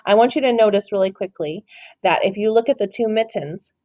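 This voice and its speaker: A woman reading a picture book aloud to a child, stopping to ask questions, gentle and encouraging with dramatic storytelling. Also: mid-range voice, a little fast.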